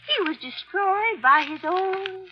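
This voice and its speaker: Elderly spinster amateur detective, high swooping voice, dramatic, easily confused, muffled old radio drama. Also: female voice, lively intonation, takes it slowly, faint music behind, heavily acted. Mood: horrified fascination. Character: fluttery elderly spinster amateur sleuth, retired history teacher. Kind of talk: 1940s radio mystery acting.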